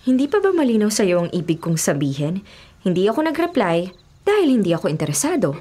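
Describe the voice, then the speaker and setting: An actress playing a guarded, bitter daughter wary of love in a Filipino radio drama, with an expressive, sassy delivery. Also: female voice, medium pitch, fairly quick, breathy edge. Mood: defensive.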